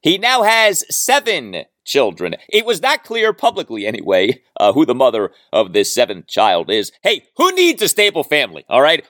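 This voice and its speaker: A man's voice: medium pitch, fairly bright tone, no room sound, clean studio sound. A man hosting a solo sports podcast, talking in an emphatic, dramatic way with rhetorical repeats. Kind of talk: solo sports talk monologue.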